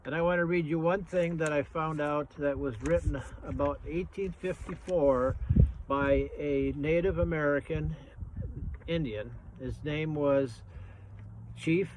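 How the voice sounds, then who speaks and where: A man in his seventies speaks in a somewhat higher-pitched voice, as a grandfather showing and explaining things to children. His delivery is halting, simple and plain-spoken. The amateur recording carries some light noise behind him. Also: darkish tone, poor audio. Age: 70s. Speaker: grandfather, guest reader and outdoorsman. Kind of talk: casual show-and-tell for children.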